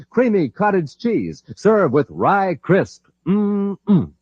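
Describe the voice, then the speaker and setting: A man's voice: sits high, darkish tone, husky, no room sound. A male radio announcer with a dramatic, theatrical delivery in the style of a 1950s adventure serial. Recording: dull, noisy old radio transcription.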